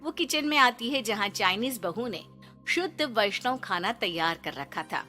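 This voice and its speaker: A woman's voice: medium pitch, animated, moderate pace, pushed and bright, faint music behind. A woman reading scripted narration for a moral story, as its storyteller.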